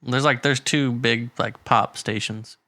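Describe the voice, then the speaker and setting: A man in easy podcast conversation, his voice bright and forward, recorded close and dry behind a pop filter. He rambles, restarts his sentences and laughs a lot. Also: medium pitch, fairly even intonation, measured pace, no room sound. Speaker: podcast co-host. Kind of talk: casual chat.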